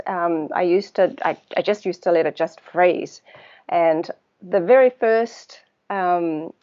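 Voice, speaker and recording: A woman with a New Zealand accent talks through her work in a relaxed, searching way, filling pauses as she finds her words. Her voice sits mid-range and comes through slightly muffled, like a lo-fi live stream. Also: medium pitch. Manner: thoughtful, unhurried, searching for words with fillers. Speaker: guest, water researcher. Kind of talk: casual interview talk.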